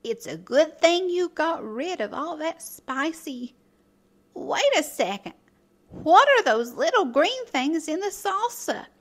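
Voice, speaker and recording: Very animated, high-voiced woman reading a storybook. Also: slow pace. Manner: playful, expressive, addresses listeners and characters directly. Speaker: children's storybook narrator and host. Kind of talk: animated read-aloud storytelling.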